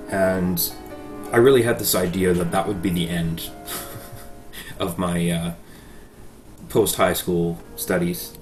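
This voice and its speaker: A man's voice: deep voice, a little mellow. A Canadian man in his thirties answering interview questions about his life, with frequent ums and uhs. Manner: hesitant, many ums and uhs. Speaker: guest pianist.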